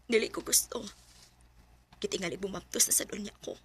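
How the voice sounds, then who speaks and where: A woman's voice: mid-range voice, a little fast, a little hiss or hum, natural voice. A woman acting an anguished young wife in a Filipino radio drama, emotional and pleading, with a Cebuano accent. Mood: grieving, hurt. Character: anguished young wife grieving lost pregnancies.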